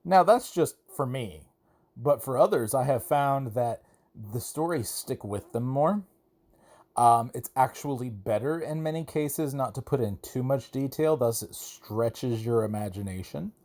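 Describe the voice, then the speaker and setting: A man's voice: medium pitch, measured pace, a little mellow. Conversational man hosting a solo podcast. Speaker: podcast host. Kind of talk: casual solo podcast monologue.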